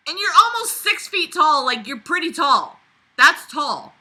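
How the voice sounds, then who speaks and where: A woman's voice: high-pitched, very animated, a little slow, bright, forceful tone. A woman reading a book aloud and breaking in with sarcastic, indignant, sweary commentary. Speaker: vlogger reading and critiquing a novel.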